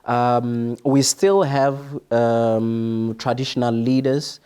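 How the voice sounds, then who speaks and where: Casting: young South African man, first language Sotho, relaxed warm tone, thoughtful stop-start explanations as a podcast guest. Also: medium pitch, clear, resonant tone. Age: young adult. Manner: halting, explanatory, repeats for emphasis. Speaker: podcast guest, international student. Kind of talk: conversational interview answers.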